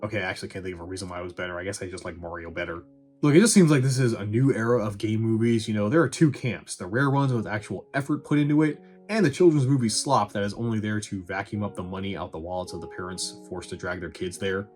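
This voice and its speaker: A man's voice: fairly low pitch, fast talker, darkish tone, faint music behind. A man giving a casual, opinionated movie review in a wry, sarcastic, conversational way. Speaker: film reviewer and host.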